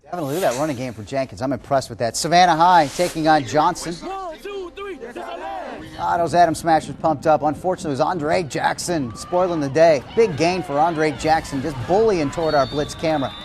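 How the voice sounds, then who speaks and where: An American man anchoring high school football highlights in an excited, punchy broadcast delivery. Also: fairly quick, a crowd in the background. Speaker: sports anchor. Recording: TV sports broadcast with music behind. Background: music bed.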